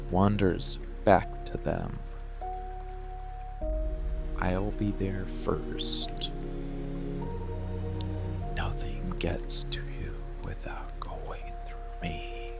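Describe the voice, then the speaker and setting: Man voicing a gravelly, crawling-slow monster lover. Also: on the low side, exaggerated voice. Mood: soothing, protective. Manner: hushed, breathy, slow soothing menace, often whispering. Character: possessive, protective male monster lover lurking in bedroom. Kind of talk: dark romantic boyfriend ASMR roleplay. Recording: music and rain bed behind voice. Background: music, rain sounds.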